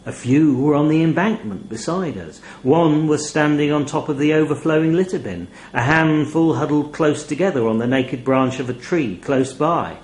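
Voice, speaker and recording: A man reading a first-person short story aloud in a wry, expressive storytelling manner. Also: fairly high pitch, moderate pace, hardly any pauses, reverberant. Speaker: short story reader, narrator. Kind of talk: scripted first-person fiction narration.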